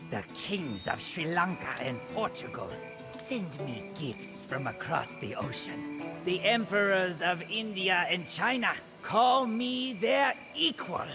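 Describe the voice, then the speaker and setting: Imperious Burmese king, brooding, grave, declamatory, unhurried, dramatic game narration, clear music bed. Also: high voice, lively intonation, full character voice. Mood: proud, imperious. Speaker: narrator and protagonist of game cutscenes. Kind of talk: dramatic scripted first-person narration. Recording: voice over prominent music.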